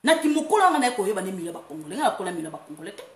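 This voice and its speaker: A woman from France hosting a casual online monologue, animated and quick to laugh, breaking into playful chants. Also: medium pitch. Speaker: online talk host. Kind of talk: casual monologue, mixing Lingala and French. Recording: roomy echo, faint background noise.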